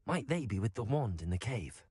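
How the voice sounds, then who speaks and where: An actor playing a determined, curious young wizard student in a video game, with a British accent and a direct manner. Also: male voice, lowish voice, big pitch swings, normal speed, a little mellow, no echo.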